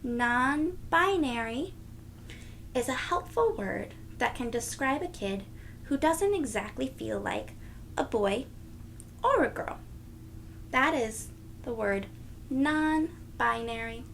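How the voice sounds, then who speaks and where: A woman reading a children's picture book aloud as a storyteller, in a deliberate, teacherly, sing-song way for young listeners. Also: high-pitched, expressive, fairly bright tone, slight background noise.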